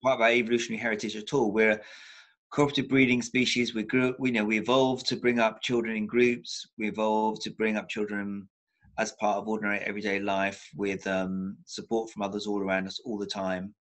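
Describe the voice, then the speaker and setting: A man's voice: lowish voice, flat delivery, brisk, fairly bright tone. An older man speaking as a therapist guest on a video call from a room at home, talking thoughtfully with frequent restarts and repeated words for emphasis. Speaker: psychotherapist guest. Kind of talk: reflective video-call interview. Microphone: laptop webcam on a video call.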